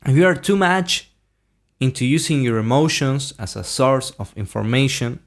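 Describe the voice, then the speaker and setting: Gravelly-voiced man musing on self-help. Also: mid-range voice, lively intonation, normal speed. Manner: earnest, repeats key points for emphasis. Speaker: podcast host. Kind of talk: reflective self-help monologue.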